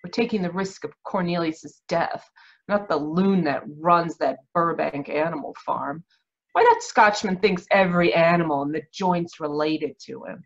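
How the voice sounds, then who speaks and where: A woman's voice: on the low side, expressive, normal speed, highs cut off. An actress playing a tough, short-tempered lady schemer in an old-time radio drama, brassy and scornful. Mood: hard, scornful.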